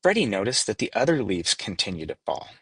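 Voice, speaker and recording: A man reads a story aloud with lively intonation. His voice sits a little low and has a husky grain, recorded dry and close. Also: fairly quick, no room sound. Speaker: storybook reader. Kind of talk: scripted storybook narration.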